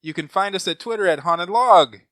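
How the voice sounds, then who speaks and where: A man's voice: sits high, brisk, a bit bright, no echo, studio quality. A man giving a casual podcast promo as co-host. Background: faint music.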